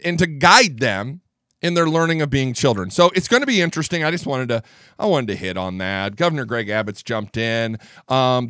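A man's voice: mid-range voice, a bit bright, dry recording. A middle-aged man hosting a political talk show in a studio, rambling and stammering through restarts, feeling under the weather. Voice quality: strong voice, gravelly when tired. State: under the weather, low energy. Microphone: studio microphone.

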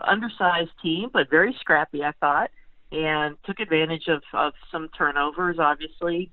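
A woman beat writer analyses football conversationally as a podcast guest. She speaks haltingly, doubling back on words. The sound is dry and band-limited, like radio. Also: sits low, no echo, narrow, tinny audio. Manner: halting, repeats words, many you-knows.

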